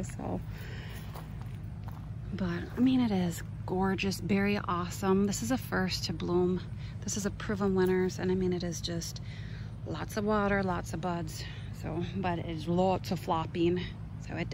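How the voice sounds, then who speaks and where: A woman chatting casually and off the cuff as she gives a garden tour, wandering between exclamatory asides. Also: fairly low pitch. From Minnesota, United States. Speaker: gardening vlogger. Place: outdoors in a garden. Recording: noisy outdoor handheld recording. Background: clear outdoor ambient noise.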